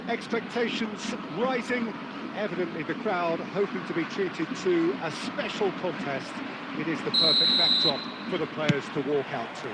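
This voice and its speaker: A man voicing the match commentator of a football video game, measured and polished, raising his voice on players' names. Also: on the high side, lightly acted. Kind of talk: scripted sports game commentary. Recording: game audio under loud crowd noise.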